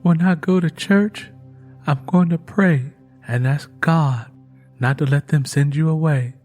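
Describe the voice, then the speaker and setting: A man's voice: on the high side, measured pace, darkish tone, faint music behind. A man voicing an earnest, guarded young country woman with a cautious, pleading manner in an audiobook. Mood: worried, pleading. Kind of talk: dramatised audiobook dialogue.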